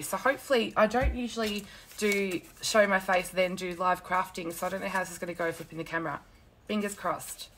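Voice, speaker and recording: A young woman with a croaky, sore-throated voice, chatting casually with viewers while she hosts a crafting live stream from her desk at home, laughing often and greeting commenters by name. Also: medium pitch, a bit bright, slight background noise. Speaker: paper-craft demonstrator hosting a live stream. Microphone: phone camera.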